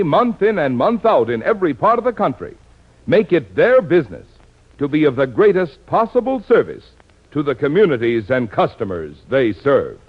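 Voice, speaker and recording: A man reading scripted narration as a formal, polished 1950s radio announcer. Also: fairly high pitch. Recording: dull vintage 1950s radio recording.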